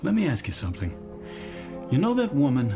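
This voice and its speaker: A man's voice: mid-range voice, wildly swooping pitch, brisk, music in the background. An American actor playing a weary, distracted middle-aged commuter in a radio drama, polite and hesitant as he speaks. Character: weary, distracted married male commuter, wistful and evasive.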